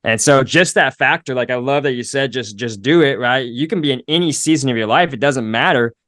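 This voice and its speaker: A male podcast host tells a story in an easygoing, affirming manner at a middling pitch. His voice is recorded close and dry, with no room sound around it. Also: fairly quick, no room sound. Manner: relaxed, affirming, tells anecdotes. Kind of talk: conversational storytelling.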